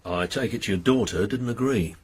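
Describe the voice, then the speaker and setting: An actor playing a formal, stern chief inspector who speaks in a measured, official way with a very husky voice. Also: male voice, lowish voice.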